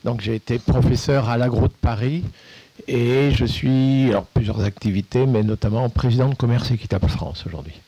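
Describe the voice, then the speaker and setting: A man's voice: medium pitch, moderate pace, dark tone, breathy edge, no echo, highs cut off. An older retired man, a former professor on a panel, speaking wryly and self-deprecatingly.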